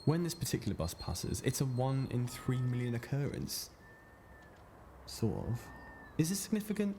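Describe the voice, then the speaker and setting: A man's voice: medium pitch, big pitch swings, moderate pace, mellow, a little hiss or hum. A man playing a needling, wistful young man in a naturalistic radio play, colloquial and dry. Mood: musing.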